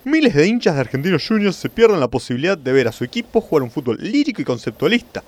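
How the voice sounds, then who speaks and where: A man's voice: a little high, very animated, quick pace, a little mellow. A man playing a pompous, wordy and stubborn football club president in a comic radio play.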